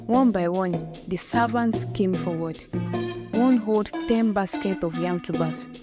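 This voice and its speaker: A woman's voice: medium pitch, unhurried. Dramatic woman storyteller. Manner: dramatic, vivid. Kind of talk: dramatic folktale narration. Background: music.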